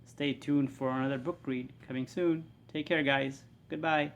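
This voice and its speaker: A man's voice: mid-range voice, steady tone, moderate pace, a little hiss or hum. A man giving the sign-off for a read-aloud channel. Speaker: sign-off presenter.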